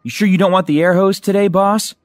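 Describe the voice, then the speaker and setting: A man's voice: high-pitched, fairly even intonation, brisk, no room sound, voice pushed up, close to an everyday voice. A man voicing an eager, deferential young diver in an audiobook, with questioning delivery. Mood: eager, helpful. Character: eager, deferential young male diving partner. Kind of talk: audiobook character voice.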